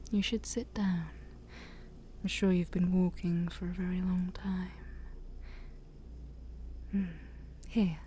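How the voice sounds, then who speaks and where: Ancient forest demon princess, played by a woman: haughty and sly, mellow near-whisper, unhurried ASMR roleplay delivery. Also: lowish voice, a little hiss or hum. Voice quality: breathy, often near-whispered. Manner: slow, teasing, haughty, theatrical. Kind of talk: soft-spoken ASMR roleplay.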